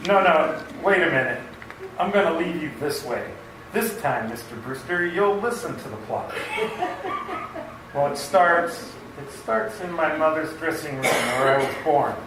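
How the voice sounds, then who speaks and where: Eager, rambling radio-play policeman, male actor, slightly high voice, very echoey distant recording. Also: lively intonation, unhurried. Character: excitable, talkative policeman and would-be playwright. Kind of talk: amateur radio play acting. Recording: distant, very echoey room with noise.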